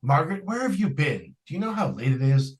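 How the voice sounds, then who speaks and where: A man's voice: fairly high pitch, sing-song, a little fast. A man playing a stern, exasperated father in an old-time radio suspense drama. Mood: angry, worried.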